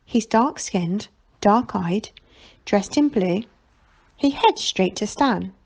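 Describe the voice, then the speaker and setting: Lively storybook narrator, woman with creaky edge. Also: mid-range voice, takes it slowly. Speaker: teacher reading a children's novel aloud.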